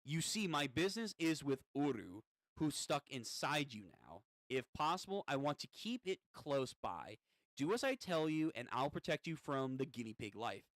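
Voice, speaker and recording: Manga witch role for a male reader: self-satisfied, coolly threatening, measured lines, recorded dry. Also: on the high side, very animated, a bit bright, no echo, poor audio. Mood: smug, threatening. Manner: smug, measured.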